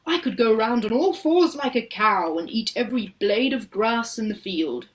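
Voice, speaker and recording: A woman's voice: fairly high pitch, animated, normal speed, lightly acted. A woman voicing a cheerful, hearty old grandfather. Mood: delighted. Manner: hearty, delighted.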